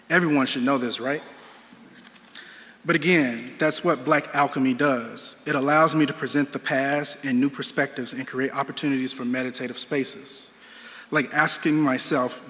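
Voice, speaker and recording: A man giving a prepared talk about his art practice, measured and reflective, asking himself questions as he goes. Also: mid-range voice, bright, forceful tone. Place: museum auditorium. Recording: long reverb of a large hall.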